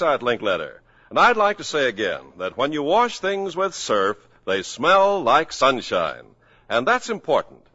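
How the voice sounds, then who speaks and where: A man's voice: mid-range voice, bright, forceful tone. A man announcing on a 1950s radio program in an emphatic, upbeat manner. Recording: old radio broadcast with faint music.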